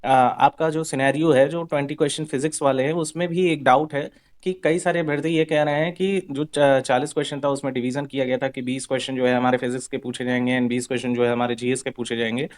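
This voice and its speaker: A man's voice: medium pitch, level tone, quick pace. A man presenting an informal explanatory update for exam candidates, repeating himself and mixing Hindi with English terms.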